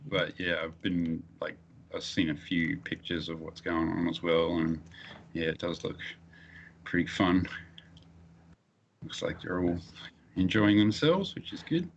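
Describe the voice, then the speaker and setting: A man's voice: sits low, unhurried, creaky, pauses often. A man in his forties chatting casually with friends. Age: 40s. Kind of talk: casual chat. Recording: muffled lo-fi mic with faint noise.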